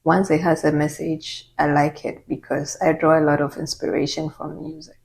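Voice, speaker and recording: Low-voiced Ghanaian woman vlogging. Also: little pitch movement, normal speed, vocal fry, a little mellow. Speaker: YouTuber. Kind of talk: casual get-to-know-me Q&A.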